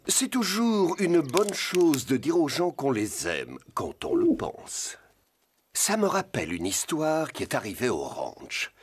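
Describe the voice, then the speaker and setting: An actor voicing a calm, gentle horse telling stories in a dubbed cartoon. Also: medium pitch, slow pace, breathy edge, exaggerated voice. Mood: kindly, reflective. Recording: music under the voice.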